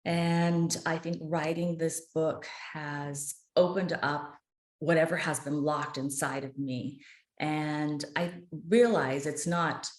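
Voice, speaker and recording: A woman's voice: low-pitched, echoey room. A woman in her fifties, a guest author on a podcast, speaking earnestly and with passion. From United States. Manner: earnest, impassioned, speaks with conviction. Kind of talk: podcast interview.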